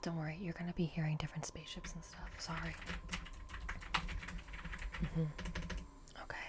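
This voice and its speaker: A woman doing a gentle ASMR roleplay as a reassuring technician, speaking in an unhurried, breathy whisper. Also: deep voice, level tone, heavy background noise. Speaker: ASMR roleplay performer playing a repair technician.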